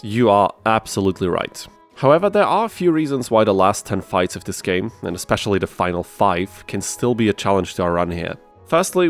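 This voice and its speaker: A man hosting a gaming video, narrating a challenge run from a script with conversational, self-deprecating asides. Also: lowish voice. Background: music under the voice.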